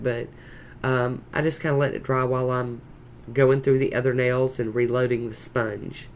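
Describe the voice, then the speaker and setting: A congested woman with a croaky voice and a Southern US accent, chatting casually in a folksy, rambling way as she narrates a nail art tutorial. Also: extremely low pitch. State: congested with a sinus infection, sore throat. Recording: noticeable background noise.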